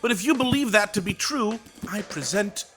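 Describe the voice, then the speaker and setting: An actor playing a domineering, theatrical radio director who speaks with sarcastic command in an audio drama. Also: male voice, high-pitched, expressive, normal speed, over music. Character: grandiose, domineering male radio director, mid-career.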